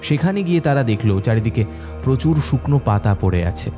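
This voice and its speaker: A man narrating a scripted horror story in a measured, suspenseful, dramatic manner. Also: mid-range voice, animated. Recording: soft music bed behind narration.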